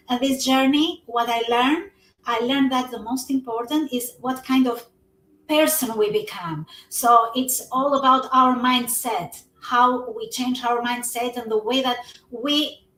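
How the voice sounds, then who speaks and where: Brief: woman in her 40s, high-pitched, earnest and halting, echoey and off-mic. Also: steady tone, pushed and bright, a little hiss or hum. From Albania. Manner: earnest, non-native English with frequent fillers. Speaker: guest. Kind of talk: casual conversation. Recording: echoey room, somewhat far from the mic.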